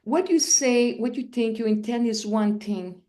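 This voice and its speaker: A middle-aged woman with a Quebec French accent speaks in a slightly high voice, as a guest sharing spiritual experiences. She is an animated storyteller who acts out little scenes. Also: a little slow. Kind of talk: spiritual interview.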